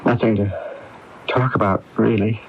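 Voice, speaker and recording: A man's voice: lowish voice, steady tone, a little slow, vocal fry. An actor playing a guilt-ridden, evasive businessman in a radio drama, stammering and hesitant. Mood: uneasy, evasive. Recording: muffled AM radio, faint noise.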